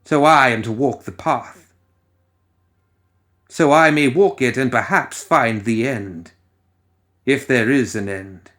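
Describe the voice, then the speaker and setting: A man's voice: medium pitch, slow pace, long pauses, a bit bright. A man playing an ancient, cursed necromancer villain in an audio story, theatrical and rambling, with coaxing menace. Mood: cold, brooding. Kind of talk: dramatic audio story monologue.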